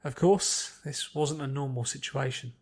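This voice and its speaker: A man reading a story aloud as an expressive scripted narration. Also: medium pitch, unhurried. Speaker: podfic narrator.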